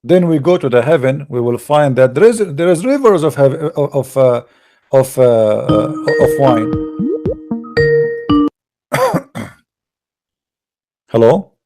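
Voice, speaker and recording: A man's voice: medium pitch, big pitch swings, takes it slowly, long pauses, loud music behind the voice. A man hosting a live debate stream, lecturing in an emphatic, argumentative way. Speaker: livestream debate host. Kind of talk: lecturing religious debate talk.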